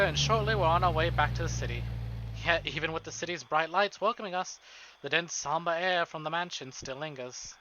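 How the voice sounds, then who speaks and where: A male streamer reading visual novel narration aloud in an expressive, dramatic way, with casual asides. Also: fairly high pitch, background noise. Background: game music.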